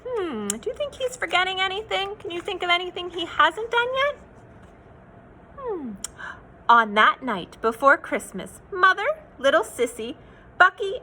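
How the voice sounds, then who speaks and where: High, lively woman reading a storybook. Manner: expressive, playful, sing-song delivery. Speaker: children's read-aloud storyteller. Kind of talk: animated picture-book read-aloud with asides to children.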